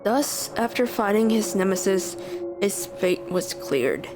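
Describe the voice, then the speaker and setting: A woman's voice: mid-range voice, expressive, slow. A woman streaming a story game, reacting and reading the game's text aloud. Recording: loud game music behind.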